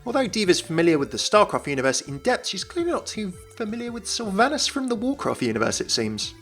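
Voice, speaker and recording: Fast, animated male gaming narrator. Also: sits high, music in the background. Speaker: video game YouTuber, narrator. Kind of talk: scripted explainer narration.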